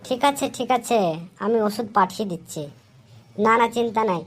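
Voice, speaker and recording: A woman's voice: on the high side, brisk, close to an everyday voice. A woman voicing a fussing, doting cartoon husband. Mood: anxious, doting. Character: gullible doting husband, adult male office worker. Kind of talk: comic Bengali cartoon dialogue.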